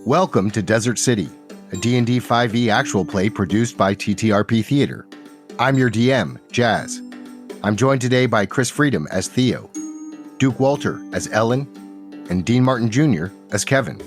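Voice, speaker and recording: A man with a fairly low voice hosts a tabletop roleplay show as its dungeon master, moving between a bright announcer-style welcome and a steady story recap. Music plays clearly beneath him. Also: normal speed. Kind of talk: podcast intro and recap narration.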